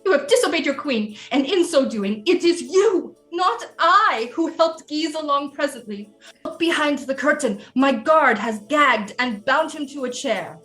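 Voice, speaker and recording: A woman acting as a commanding, scheming Renaissance queen mother in an audio drama, with formal, deliberate delivery. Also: sits high, expressive, a bit bright, soft background music. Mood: furious, alarmed. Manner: imperious, formal, deliberate diction.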